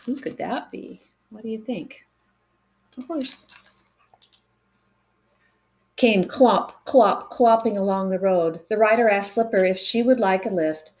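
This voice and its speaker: A woman reading a picture book aloud to children in an expressive way, with dramatic pauses and chatty asides to the listeners. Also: mid-range voice. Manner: animated, dramatic pauses, engages young listeners with questions.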